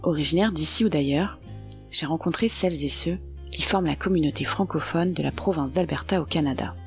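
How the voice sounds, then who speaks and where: A podcast host reading a scripted introduction in a measured, even way. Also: medium pitch. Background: soft guitar music.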